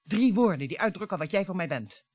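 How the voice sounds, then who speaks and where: Theatrical, bitter wife, swooping pitch. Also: female voice, lively intonation, fairly quick, a little mellow, no room sound. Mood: scornful. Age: 50s. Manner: theatrical, mocking, swinging between coaxing and scorn. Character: bitter, theatrical wife in her fifties, taunting her husband. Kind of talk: radio drama acting.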